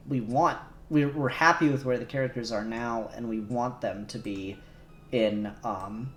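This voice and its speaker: A man's voice: medium pitch, reverberant, slight background noise. A man casually chatting on a livestream, thinking aloud as he works on a 3D model. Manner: relaxed, thinking aloud while working. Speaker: co-host modelling on stream.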